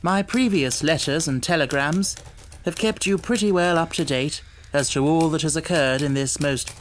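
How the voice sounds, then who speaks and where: A man voicing an earnest, reflective Victorian gentleman doctor, narrating his letters in a formal, measured audiobook style. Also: on the high side, lightly acted.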